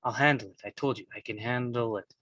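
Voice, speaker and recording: A man playing a calm, controlled young mafia boss in a script read, halting and stuttering heavily on consonants. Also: mid-range voice, no echo, dull audio. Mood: reassuring. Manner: halting, deliberate. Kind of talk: live table read of a crime film script.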